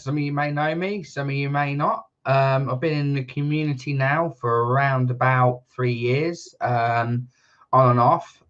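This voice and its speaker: Chatty man on a muffled call. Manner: rambling, self-correcting, laughs often; gets loud and high when fired up. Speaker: guest. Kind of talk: casual podcast chat.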